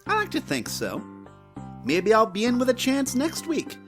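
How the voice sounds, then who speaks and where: A man acting a hapless, hot-headed young farmer in a fan radio play, earnest and excitable. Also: very high voice, normal speed, over music, full character voice. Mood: chatty.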